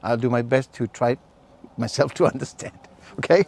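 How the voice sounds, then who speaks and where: A man's voice: medium pitch, normal speed, a little mellow. A man from Chile with a Chilean Spanish accent, a native Spanish speaker, earnestly giving a survival class outdoors. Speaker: survival instructor. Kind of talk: class instruction. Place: outdoor campsite.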